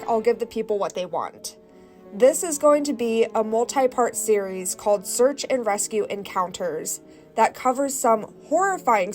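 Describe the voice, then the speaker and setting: A woman hosting a channel and reading first-person stories aloud with a congested, stuffy nose in a calm, measured way. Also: on the high side. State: stuffy nose from sinus infection. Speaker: host.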